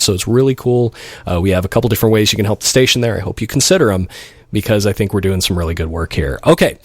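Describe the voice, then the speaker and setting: A man's voice: fairly low pitch, no echo, studio quality. A man hosting an internet radio show, chatting casually and informally between songs with some self-deprecating humor.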